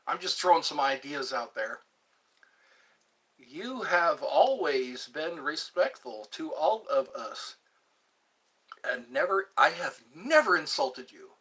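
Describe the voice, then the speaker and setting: A man's voice: fairly high pitch, very animated, slow pace, bright, forceful tone, slightly rough, rough recording. A man in his fifties hosting a rambling livestream, chatting to viewers and breaking into snatches of old pop songs. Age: 50s. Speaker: livestream host. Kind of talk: rambling livestream chat with singing.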